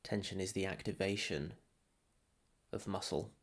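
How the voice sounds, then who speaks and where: A man guides a meditation in a deep voice with a creaky, fried edge. He speaks very slowly and soothingly, setting out short phrases with long pauses between them. The delivery is calm instruction, like a teacher leading practice.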